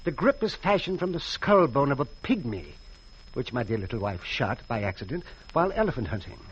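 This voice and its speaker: An actor playing a pompous, wealthy and eccentric yacht skipper with formal, genteel phrasing in a 1940s radio drama. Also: male voice, medium pitch, very animated, brisk, slight background noise, close to an everyday voice. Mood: genial, fussy. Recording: muffled old radio broadcast.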